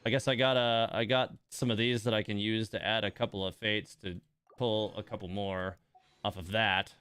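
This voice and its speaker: A man's voice: fairly low pitch, steady tone, moderate pace, a bit bright, clean tone, no echo. A man hosting a game livestream in casual chat, muttering exasperated asides to himself and his viewers. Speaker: livestream host playing a gacha game.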